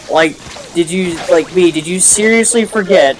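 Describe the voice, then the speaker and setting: A young man from the United States chatting while playing a game together, speaking in a halting way full of fillers. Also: high-pitched, over music, low-quality recording. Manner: halting, filler-heavy speech. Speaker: co-player. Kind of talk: casual chat over gameplay.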